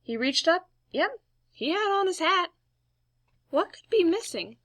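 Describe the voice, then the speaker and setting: Female children's storybook reader: very lively intonation, slow pace, playful and expressive, close dry sound. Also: very high voice, dry recording. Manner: playful, emphatic sound words. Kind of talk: expressive read-aloud storytelling for young children.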